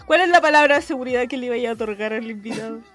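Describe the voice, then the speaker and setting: A woman's voice: fairly high pitch, very fast, a bit bright. A Chilean woman co-hosting a podcast, asking wry, teasing questions. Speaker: podcast co-host. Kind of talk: casual podcast banter.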